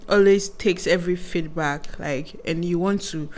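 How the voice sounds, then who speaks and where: A woman, chatting as a podcast guest and acting out what people say to her in quick asides. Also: fairly low pitch, fairly even intonation, darkish tone. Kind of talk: casual interview chat.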